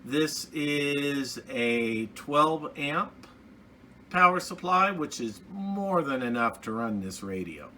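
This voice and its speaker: A man giving a casual, unscripted hands-on gear review as a hobbyist, halting and restarting his phrases as he goes. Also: a little high, lively intonation, pushed and bright, a little hiss or hum.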